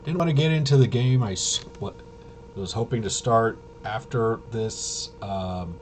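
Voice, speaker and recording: A man chatting casually as a livestream host, halting, often interrupting himself and trailing off. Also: fairly low pitch, a little mellow, a little hiss or hum. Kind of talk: casual rambling livestream chat.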